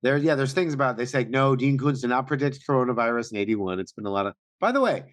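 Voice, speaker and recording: Wry, animated man co-hosting a podcast. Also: medium pitch, quick pace. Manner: wry, bantering. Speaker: podcast co-host. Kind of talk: casual chat.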